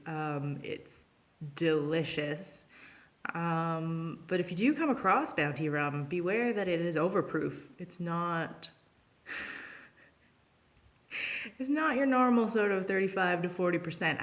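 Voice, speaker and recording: A young adult woman with a Canadian accent tells a personal anecdote in an informal, chatty vlog style. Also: lowish voice. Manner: animated when acting out dialogue, informal. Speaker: storytelling vlogger. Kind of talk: casual anecdotal storytelling.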